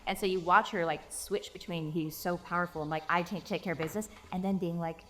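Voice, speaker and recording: A woman's voice: lowish voice, fairly even intonation, fast, noisy background. A woman chatting playfully as a voice actor on a casual interview panel, with a busy crowd behind her.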